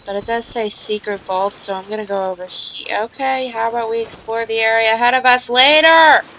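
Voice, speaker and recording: A woman's voice: a little high, a little fast, pushed and bright, a little hiss or hum. A woman giving casual, rambling video game commentary, thinking aloud as she plays. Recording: dull audio with game music. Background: video game music.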